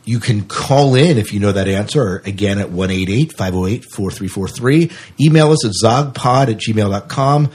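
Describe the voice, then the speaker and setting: A man with a low, husky voice chats as a podcast co-host. He speaks informally and with enthusiasm, as if talking straight to regular listeners. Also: lively intonation, moderate pace, few pauses, darkish tone. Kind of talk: casual podcast chat.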